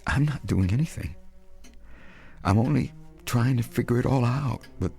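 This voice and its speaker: A creaky-voiced, hesitant grown son. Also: male voice, mid-range voice, expressive, moderate pace, darkish tone, faint music behind. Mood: apologetic, torn. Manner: hesitant, earnest, defensive. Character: hesitant, guilt-ridden grown son devoted to late mother. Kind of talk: radio drama acting.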